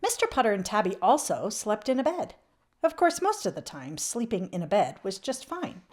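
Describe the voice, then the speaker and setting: A woman reading a children's picture book aloud expressively, with emphatic swells and hushed drops. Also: mid-range voice, big pitch swings, moderate pace. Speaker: children's read-aloud channel host.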